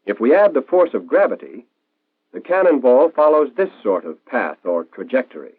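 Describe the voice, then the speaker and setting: An American man reading scripted narration for a 1940s instructional film in a clear, didactic, explanatory manner. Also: mid-range voice, sing-song, normal speed, no room sound. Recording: tinny band-limited 1940s film soundtrack.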